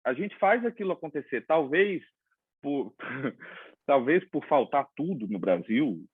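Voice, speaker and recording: Man, from Brazil, interview host, animated storyteller, dry tinny phone-like sound. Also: mid-range voice, unhurried, breathy edge, no echo. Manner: animated storytelling with quoted dialogue. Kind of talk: casual interview chat.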